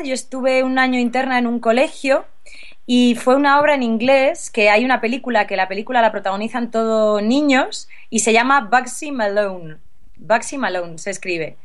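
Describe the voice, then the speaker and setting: A woman in her thirties from Spain, answering interview questions casually with frequent laughs and restarts. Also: on the high side. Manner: relaxed, laughs often, restarts sentences. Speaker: interview guest, actress.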